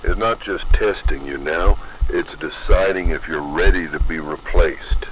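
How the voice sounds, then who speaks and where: A man reading a scripted horror narration in an ominous, deliberate manner, addressing the listener directly. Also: extremely low pitch, a bit bright, slight background noise, low-quality recording.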